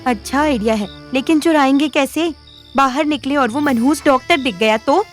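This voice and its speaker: A woman's voice: sits high, moderate pace, close to an everyday voice. An actress playing a bold, streetwise young woman in an audio drama, with a bossy, sardonic, teasing manner. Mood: scornful. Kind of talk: Hindi audio drama acting. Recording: music under the voice. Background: background music.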